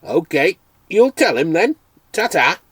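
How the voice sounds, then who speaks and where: A man doing a plump, eager farmer, pitched above his own voice. He talks loudly and heartily, with a husky edge to the voice. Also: big pitch swings, a little slow, dry recording, full character voice. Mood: hearty. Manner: broad rural dialect, hearty and loud.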